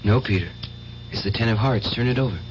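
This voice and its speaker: An actor playing an earnest, needy, devout nephew in an old radio drama. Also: male voice, fairly low pitch, fast, lightly acted. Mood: confident. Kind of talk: 1970s radio drama acting. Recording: muffled, hissy old radio recording.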